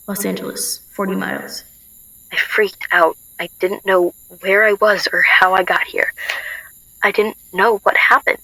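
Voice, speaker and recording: Woman acting a shaken young heroine, bright edged voice. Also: mid-range voice, dry recording, rough recording. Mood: bewildered, then tense. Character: frightened young woman on the run, shaken but determined. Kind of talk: first-person radio drama narration and dialogue.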